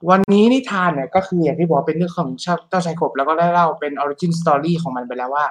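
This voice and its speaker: A man co-hosting a podcast, chatting casually in a crude, slangy, excitable manner. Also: a little high, fast talker. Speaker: podcast co-host. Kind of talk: casual chat.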